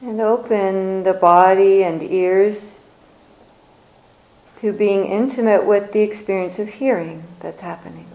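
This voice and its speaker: A woman meditation teacher speaks very slowly in a soft, dark-toned, mellow voice. Her phrasing is gentle and soothing, with long pauses left between short invitations. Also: medium pitch, low-quality recording. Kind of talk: guided meditation, softly spoken with long pauses.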